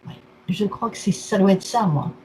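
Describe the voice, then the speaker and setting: A woman's voice: medium pitch, expressive, normal speed, dark tone, rough recording. A woman speaking as a client in a casual consultation, listing things item by item in short phrases. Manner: itemizing, in short clipped phrases. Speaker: therapy client. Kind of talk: casual recounting of health complaints. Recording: faint background noise.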